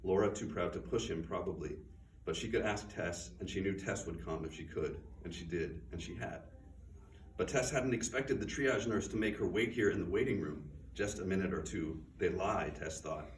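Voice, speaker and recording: A man with a very low voice gives a measured, quiet reading of his own fiction. He stands back from the microphone in a bookstore, so the sound is roomy and distant. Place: bookstore event room. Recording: roomy, distant, faint noise.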